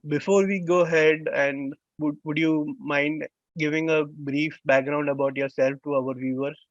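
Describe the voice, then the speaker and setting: A man hosting an interview who speaks haltingly, repeats words, and uses many "uh" fillers. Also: on the high side, fairly even intonation, no echo. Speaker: interview host. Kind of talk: conversational interview. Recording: dull, lo-fi sound.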